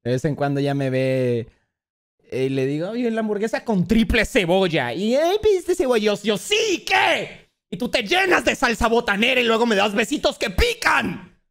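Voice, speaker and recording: A young man from Colombia reacting on a livestream. His voice sits a little high and swings widely in pitch. He talks in an expressive, joking way, full of slang and casual swearing. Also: moderate pace, creaky. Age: 20s. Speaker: streamer reacting to a video about himself. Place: indoor streaming room.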